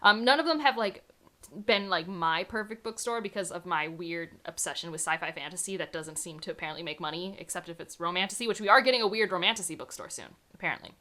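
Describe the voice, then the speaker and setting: A woman's voice: on the low side, fast, pushed and bright. A woman book vlogger chatting casually to camera, enthusiastic and full of "like" fillers. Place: home room surrounded by books.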